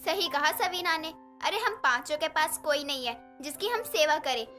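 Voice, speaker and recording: A woman voicing a kind young tenant daughter-in-law in a storybook tale, over soft background music. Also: high-pitched, animated, moderate pace. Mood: earnest.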